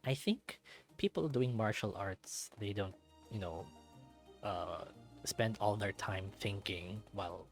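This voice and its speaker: A man reading a visual novel aloud in an expressive way, dropping in casual asides of his own. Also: fairly low pitch, takes it slowly, creaky, soft background music.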